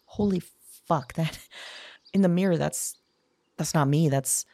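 Actress as a husky, haunted introspective woman. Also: sits low, mellow. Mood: shocked, frightened. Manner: halting, musing, confiding. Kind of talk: audio drama monologue.

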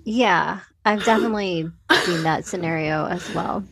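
A woman's voice: fairly low pitch, normal speed, vocal fry, breathy edge. A woman co-hosting a podcast, chatting informally and hedging as she goes, with lots of "like". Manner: informal, hedging, many uses of "like". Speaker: podcast co-host. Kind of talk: casual chat.

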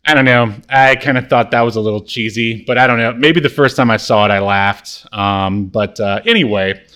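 A man with a mid-pitched voice co-hosts a casual podcast about a TV comedy. He recaps scenes in a halting way, feeling his way through each retelling. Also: a bit bright, clean tone. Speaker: podcast co-host.

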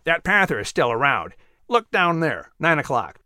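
A man doing a bright, breezy crow. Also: on the high side, sing-song, moderate pace, no echo, studio quality, full character voice. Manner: casual, breezy. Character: friendly male crow, easygoing and helpful.

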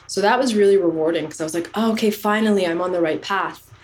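Low-voiced woman, reflective storyteller. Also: fast, slight background noise. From Canada. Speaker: podcast guest. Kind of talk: casual interview chat.

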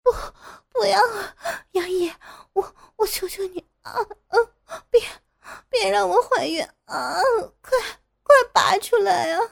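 A woman voicing a frightened adult woman teacher who sobs and pleads in high, tearful, creaky cries. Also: expressive, measured pace, heavily acted. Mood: pleading, sobbing. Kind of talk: audio drama reading.

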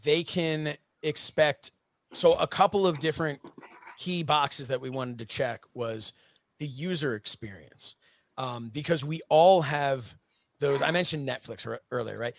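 A man's voice: on the high side, unhurried, dry recording. A man in his late thirties, a guest on a casual podcast, explaining his plans earnestly and at length with many "you know"s. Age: 30s. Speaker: guest, streaming network executive.

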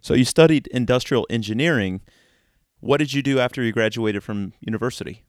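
A man's voice: medium pitch, brisk, no echo, clean studio sound. A man hosting a podcast, reading a scripted introduction and asking interview questions. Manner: smooth, read-aloud delivery. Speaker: podcast host.

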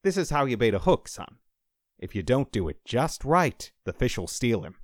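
A man's voice: medium pitch, sing-song, moderate pace, dry recording, studio quality, voice pushed up, natural voice. A man voicing a tender, earnest father who is desperately attached to his young son, in an audiobook story. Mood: tender, encouraging. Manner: emotional, earnest.

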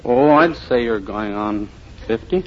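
A man's voice: on the low side, animated, unhurried. An American man in his thirties, a radio quiz contestant giving brief, matter-of-fact answers. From Illinois, United States. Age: 30s. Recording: muffled, very noisy old radio recording.

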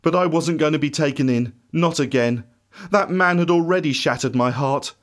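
A man playing a bitter, grief-stricken disciple in a dramatic first-person monologue, emotional and theatrical, swinging from hushed to shouting. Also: fairly high pitch, normal speed, lightly acted. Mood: defiant. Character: anguished, bitter doubting male disciple recounting his grief.

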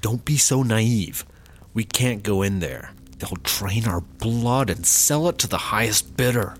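An uptight, practical worrier from a comedy radio play. His voice sits fairly low and sounds wary and exasperated. Faint noise sits behind the dialogue. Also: lively intonation, unhurried. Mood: paranoid. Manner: wary, exasperated straight man. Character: uptight, anxious, practical male partner prone to paranoia. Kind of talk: sci-fi comedy audio drama acting.

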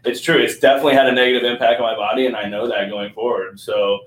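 A man's voice: mid-range voice, little pitch movement, fast. A young man in his twenties talks candidly and reflectively, hedging with many fillers. His medium voice bounces around an echoey room. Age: 20s. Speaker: guest athlete. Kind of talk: candid podcast chat.

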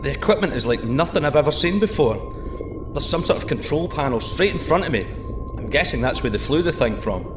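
An actor playing a curious, cheeky astronaut explorer, informal and wry, in a sci-fi audio drama. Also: male voice, on the high side, lively intonation, a little fast, close to an everyday voice. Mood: curious, awed. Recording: music behind the voice. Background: music.

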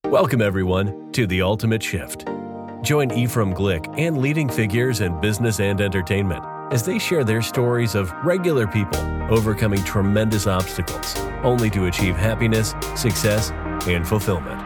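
A man reading a scripted show introduction in a measured announcer style. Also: fairly low pitch, animated. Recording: music bed behind voice.

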